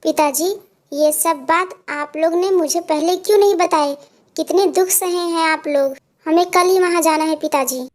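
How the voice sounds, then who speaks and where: Lady doing a poor village boy for a cartoon story, really high voice, earnest and pleading. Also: moderate pace, darkish tone. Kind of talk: Hindi animated moral story dialogue.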